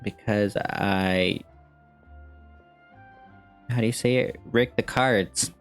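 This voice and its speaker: A woman's voice: rumbling low, takes it slowly, faint music behind. A woman giving casual gaming commentary with slangy, teasing asides. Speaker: game streamer reacting to a story quest.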